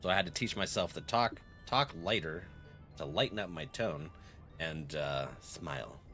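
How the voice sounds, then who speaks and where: A man's voice: deep voice, normal speed, bright, forceful tone. A man streaming a game and chatting casually with viewers, easygoing and laughing often. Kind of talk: casual live-stream chat. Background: faint music.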